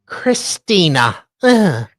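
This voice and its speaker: A woman co-hosting a livestream, reading messages aloud in a halting, deliberate way with casual reactions. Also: fairly low pitch, big pitch swings, no room sound, studio quality. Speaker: livestream co-host. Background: music.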